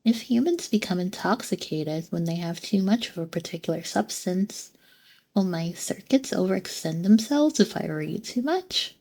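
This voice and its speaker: A woman voicing a gentle, curious female android in an ASMR roleplay, soft-spoken and formal, with hesitant pauses. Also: on the low side, animated. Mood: earnest curiosity. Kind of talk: ASMR roleplay, scripted monologue.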